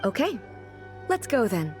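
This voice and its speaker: Female game ruler, formal, naively literal, matter-of-fact, fairly high voice, faint music. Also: big pitch swings, measured pace. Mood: composed, curious. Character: dignified, naively literal female almighty shogun, earnest and curious. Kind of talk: English video game voice acting.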